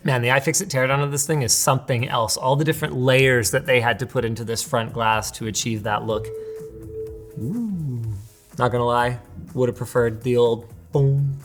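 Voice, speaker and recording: A man hosting a casual product unboxing, excitable and sarcastic, with a Canadian accent. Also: medium pitch. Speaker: tech video host.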